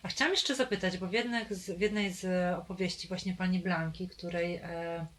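Low-voiced woman interviewer asking gently. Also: steady tone, fairly quick. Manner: gentle questioning, many fillers. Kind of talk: oral history interview.

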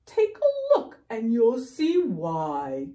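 A woman playing a gloomy, self-pitying male fish in a storybook, with a slow, drawn-out, mournful delivery. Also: a little high, huge pitch swings, dark tone, heavily acted. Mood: resigned, glum.

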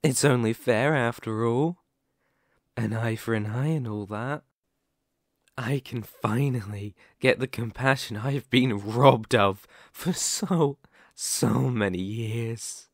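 Man acting out a slow, menacing, possessive werewolf. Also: medium pitch, dry recording, studio quality. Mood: pleading, possessive. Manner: slow, menacing, with drawn-out pauses. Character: sadistic, possessive male werewolf, wounded and menacing. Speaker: ASMR roleplay performer. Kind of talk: ASMR roleplay, dramatic monologue.

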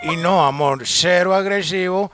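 Colombian guy doing a prank call, kinda high voice, smooth talker, really noisy audio. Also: unhurried. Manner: smooth-talking, keeps using pet names. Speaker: prank caller, show host. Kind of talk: comedic prank phone call. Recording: very noisy phone-call recording.

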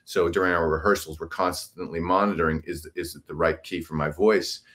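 A middle-aged man with a deep bass voice, a singer and musician explaining things casually as an interview guest on a video call. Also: steady tone, a little fast, a bit bright. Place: indoor room, video call.

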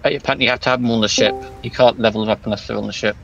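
A man's voice: lowish voice, fairly even intonation, rapid-fire, vocal fry, highs cut off. A man in gaming voice chat, explaining game mechanics matter-of-factly. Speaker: gamer. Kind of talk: casual voice chat while gaming. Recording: game music behind voice chat.

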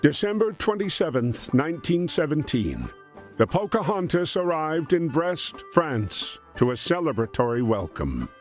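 A man narrating a scripted dramatic story in a measured, solemn way. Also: on the high side, very animated. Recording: music under the voice. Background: background music.

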